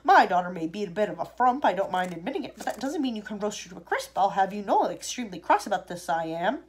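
A woman's voice: medium pitch, big pitch swings, moderate pace, darkish tone, slight background noise, natural voice. A woman voicing an indignant, pompous wealthy father in a storybook reading, stuffy and emphatic. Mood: pompous indignation. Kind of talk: storybook character voice in a read-aloud.

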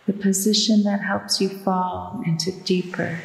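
A woman narrating a guided sleep meditation in a soothing, hushed and deliberate manner. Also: medium pitch, little pitch movement, vocal fry. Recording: echoey long reverb with music behind. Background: relaxation music with ocean waves.

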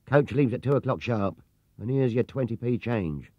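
A man puts on an oily British storybook salesman who can flip from fawning to curt. His pitch swings widely, on a dry, slightly dull cassette recording. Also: expressive, normal speed, dry recording, natural voice. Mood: nasty. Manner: fawning, then curt and sneering. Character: oily coach-tour salesman who turns nasty. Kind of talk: storybook character reading.

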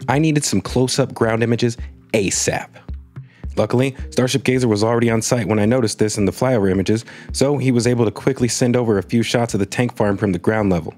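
A man's voice: medium pitch, darkish tone. Man narrating with wry storytelling asides. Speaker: space news video host. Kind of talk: scripted voice-over narration.

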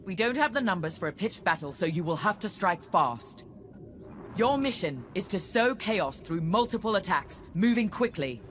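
Crisp, commanding squadron leader. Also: female voice, on the low side, fairly bright tone, slight background noise. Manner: crisp, authoritative, formal. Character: commanding, businesslike female military squadron leader. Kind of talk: video game mission briefing.

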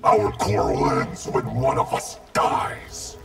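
An actor playing a cold, vengeful undead ninja in a fighting game, with a gravelly, distorted growl and threatening delivery. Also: male voice, on the low side, fairly even intonation, takes it slowly, exaggerated voice. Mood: cold anger. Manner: threatening, declamatory. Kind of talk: fighting game character dialogue. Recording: loud music behind.

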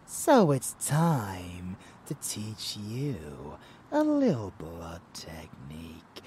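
Rebellious, doting vampire lover played by a man, ASMR audio drama. Very unhurried, low, intimate, sinister, slipping into whispers. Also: wildly swooping pitch, a little mellow, a little hiss or hum, exaggerated voice. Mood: sinister, coaxing. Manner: intimate, drawling, often dropping to whispers. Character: rebellious murderous male vampire lover, doting yet bloodthirsty.

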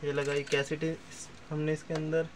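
A man's voice: on the high side, little pitch movement, moderate pace. A man giving a casual product demonstration as a seller, repeating himself as he explains each step. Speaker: seller presenting second-hand audio equipment. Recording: clear background noise, amateur room recording.

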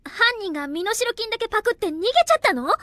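A woman's voice: very high voice, lively intonation, quick pace, sharp tone, heavily acted. An actress playing a clever anime schoolgirl who explains the situation and drops dry asides. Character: sharp, know-it-all anime schoolgirl.

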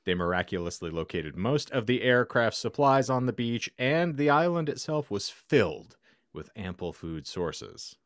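A man's voice: mid-range voice, sing-song, normal speed, no room sound. A man in his thirties hosting a podcast, with a theatrical, wry delivery, recorded close on a microphone in a home room. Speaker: podcast host. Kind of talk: reading a scenario aloud, podcast banter. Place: small home room, indoors.

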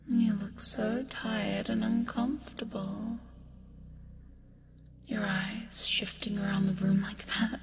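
Woman playing a slow, whispery seductive demoness. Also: mid-range voice, background noise. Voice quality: breathy, often whispered, with creaky fry. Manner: slow, taunting, coaxing with pet names. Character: seductive, teasing female sleep paralysis demon, creepy yet soothing. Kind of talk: ASMR roleplay, hypnotic bedtime delivery. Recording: long reverb, music behind. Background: music.

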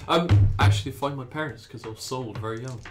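A man's voice: medium pitch, fast talker, over loud music. A man playing a tabletop game, chatting casually with jokes and swearing. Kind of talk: casual chat. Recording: echoey room, loud background sound.

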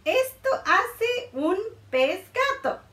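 A woman in her thirties reading a picture book aloud to children in a playful, expressive way, in a small room. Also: very high voice, drawn-out words, echoey room, far from the mic. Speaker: children's librarian. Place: small room indoors, before a board.

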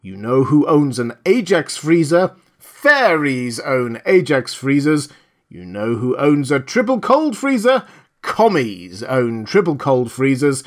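A man voicing an enraged, sneering advertising pitchman in an audiobook, howling sales slogans in a harsh voice. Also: very animated, slow pace. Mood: sneering, contemptuous. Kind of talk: dramatised science-fiction audiobook.

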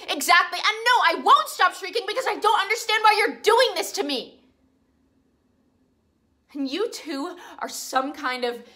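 A woman's voice: sits high, fairly bright tone, full character voice. A young actress performing an emotional monologue as a hurt young woman lashing out at the people she lives with. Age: young adult. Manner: emotional, accusing, pleading. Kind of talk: dramatic acting monologue.

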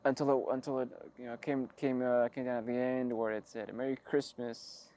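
A man giving a rambling, wry personal monologue, halting and often interrupting himself as he talks. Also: medium pitch, little pitch movement, dark tone, a little hiss or hum. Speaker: creator of an audio log channel.

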